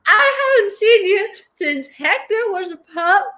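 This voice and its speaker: A melodramatic grandmother who keeps choking up as she gushes about family and faith, played by a woman. Her voice sits very high and bright. She drags every word out slowly. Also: expressive, exaggerated voice. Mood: weepy gushing. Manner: tearful, gushing, melodramatic. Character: weepy gushing churchgoing grandmother woman. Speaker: comedy show guest.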